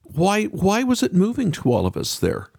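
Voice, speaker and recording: A man's voice: sits high, wildly swooping pitch, moderate pace, gravelly, a little mellow, slightly rough, no room sound, clean studio sound. A man hosting a faith podcast, delivering introductions and interview questions in a radio style.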